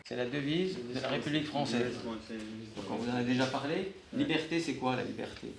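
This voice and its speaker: Teacher in an echoey classroom. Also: male voice, medium pitch, normal speed, a loud crowd. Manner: asks the class questions, clear and didactic. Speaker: trainer in class. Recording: echoey classroom with people around.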